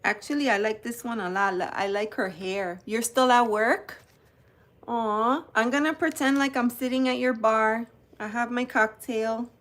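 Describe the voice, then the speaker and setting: Woman, from New Jersey, slow unhurried pace, chatty live-stream host. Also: a little high, fairly even intonation, fairly bright tone. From New Jersey, United States. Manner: chatty, greets viewers by name while showing cards. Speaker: tarot channel host. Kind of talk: live-stream unboxing chat.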